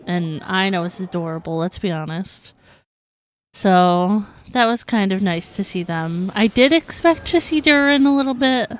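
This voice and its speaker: A woman's voice: mid-range voice, lively intonation, normal speed. A woman livestreaming a game, chatting casually and in a giggly way. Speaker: game streamer. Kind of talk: casual livestream chat over gameplay. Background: game music.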